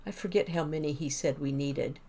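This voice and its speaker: A woman streaming a game, chatting casually with viewers and rambling as she thinks out loud. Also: low-pitched, fairly quick, vocal fry. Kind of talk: casual gameplay chat with viewers.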